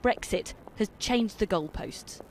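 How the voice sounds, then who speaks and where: A woman news reporter narrating a television report with a measured, clear delivery. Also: medium pitch, lively intonation, a little hiss or hum, low-quality recording.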